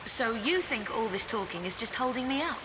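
An actress playing a cool, sardonic young adventuress in a video game, with a British accent and a dry, politely mocking way of talking. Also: female voice, on the high side, expressive, brisk, close to an everyday voice. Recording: echoey cave, running water hiss.

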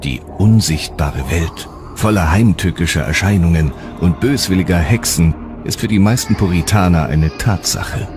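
A man narrating a historical documentary from a script in a measured, serious way. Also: low voice. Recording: music underneath.

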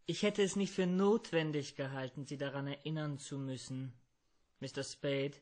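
Schauspieler als gezierter Ganove mit hellerer Stimmlage. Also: male voice, on the high side, steady tone, moderate pace, darkish tone, close to an everyday voice. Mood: prim, warning. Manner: fussy, over-correct, ornate phrasing. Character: prim, formal male crook, politely menacing. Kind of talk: German radio play acting.

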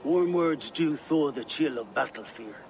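A man voicing a blunt, battle-ready warrior ally in a video game, with a gravelly voice. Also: fairly high pitch, animated, a little slow, slight background noise. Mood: eager, wry. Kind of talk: dramatic video game acting.

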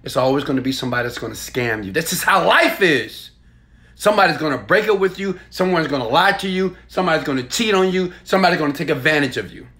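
A man's voice: animated, fast talker. Hyped man selling from a phone. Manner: hyped, preachy and emphatic, swinging between loud bursts and low asides. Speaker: online marketing coach. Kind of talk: selfie video sales pitch. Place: indoors in a small home room. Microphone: phone held at arm's length.

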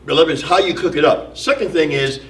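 A man's voice: fairly high pitch, fairly quick, fairly bright tone, recorded from a distance. A middle-aged man leading a church Bible study, speaking earnestly to his listeners. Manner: earnest, exhorting. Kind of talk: church teaching. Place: church sanctuary. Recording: echoey hall, off-mic.

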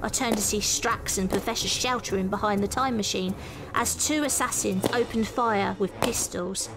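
A woman's voice: medium pitch, moderate pace. A woman reading scripted, dramatic story narration. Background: music.

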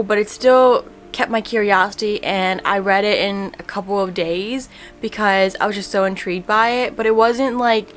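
A young woman in a casual book vlog, talking in a chatty, relaxed way with many fillers, recorded at home by a camera at desk distance. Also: mid-range voice. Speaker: book vlogger. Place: home room in front of bookshelves.

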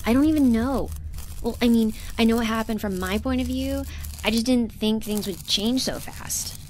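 A woman's voice: fairly high pitch, moderate pace, darkish tone, lightly acted. An actress playing an earnest, literal-minded young woman researcher in a sci-fi audio drama, speaking conversationally and a bit hesitantly. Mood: uneasy. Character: earnest, awkward young woman researcher defecting from corporate ship. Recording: clear ambient noise behind.

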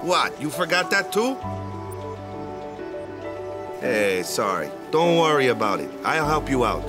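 A man's voice: mid-range voice, sing-song, takes it slowly, music in the background. An actor playing a friendly, laid-back island ballplayer in his twenties in a video game, talking in casual slang with tag questions. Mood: easygoing, reassuring. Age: 20s. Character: easygoing, friendly 23-year-old male island ballplayer.

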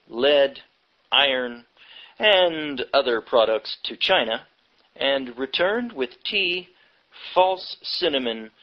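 A man reading a nonfiction history book aloud from the text, with deliberate phrasing broken into short, halting chunks. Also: mid-range voice. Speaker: audiobook reader. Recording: dull, lo-fi audiobook recording.

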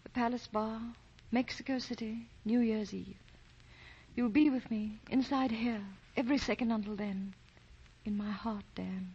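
A woman's voice: fairly high pitch, a little slow, darkish tone, breathy edge, heavily acted. An actress playing a devoted young lady in love, earnest and dramatic, in 1940s radio drama style. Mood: wistful. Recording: muffled 1940 radio broadcast, faint music.

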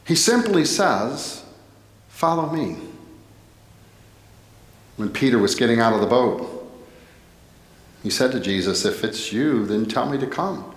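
American pastor preaching in an echoing church. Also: male voice, medium pitch, very animated. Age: middle-aged. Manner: earnest, deliberate phrasing with rhetorical questions. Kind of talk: sermon. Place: indoor church, at a pulpit. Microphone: headset microphone. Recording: echoey church hall with long reverb.

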